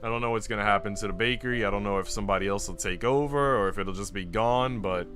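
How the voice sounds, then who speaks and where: Low-voiced man streaming over instrumental music. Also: fast talker. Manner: casual, slangy asides. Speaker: streamer reading a visual novel aloud. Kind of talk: calm story read-aloud mixed with casual chat to viewers. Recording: music playing behind the voice. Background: guitar and instrumental music.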